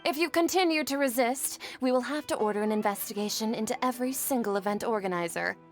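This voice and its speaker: An actress playing a stern, dutiful academy official in a video game, speaking in a cold, formal, measured way. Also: female voice, a little high, faint music behind, close to an everyday voice. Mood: stern warning.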